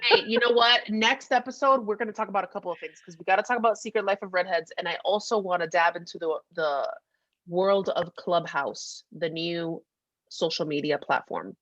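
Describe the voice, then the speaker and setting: A woman's voice: on the low side, fairly quick, fairly bright tone, dry recording. Upbeat woman podcast host, dull lo-fi audio. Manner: upbeat, encouraging, emphatic. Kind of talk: casual catch-up podcast chat.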